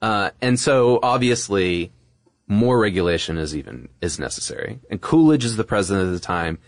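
A man's voice: lowish voice, expressive, moderate pace, dry recording, clean studio sound. A man co-hosting a history podcast, explaining things in an informal, colloquial, conversational way. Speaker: podcast co-host.

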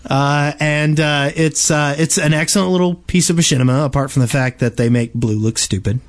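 A man's voice: fairly high pitch, a little fast. A man co-hosting a gaming podcast, casually introducing items in conversation with others. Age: adult, a father. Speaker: podcast co-host.

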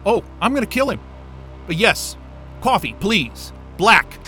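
An actor playing an excitable, sarcastic, foul-mouthed astrophysicist in a naturalistic audio drama. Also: male voice, high voice, measured pace, soft background music, exaggerated voice. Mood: exasperated.

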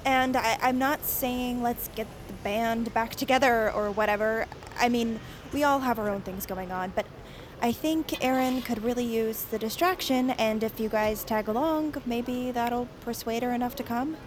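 A woman's voice: a little high, moderate pace, slight background noise, close to an everyday voice. An actress playing an eager, chatty young woman who rallies her friends, in naturalistic audio fiction. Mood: awkward, hesitant. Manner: hesitant rambling turning to pushy pep talk.

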